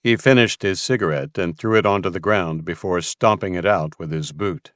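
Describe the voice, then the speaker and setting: A man's voice: low-pitched, moderate pace, fairly bright tone, dry recording, studio quality. A man reading a scripted story as a dramatic audiobook narrator.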